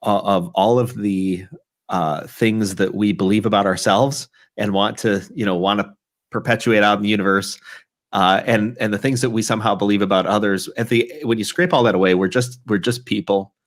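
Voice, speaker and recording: Very low-voiced chatty man hosting. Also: fairly even intonation, normal speed. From Chicago area. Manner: chatty, rambling, frequent restarts. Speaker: talk show host. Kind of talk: casual live-streamed chat.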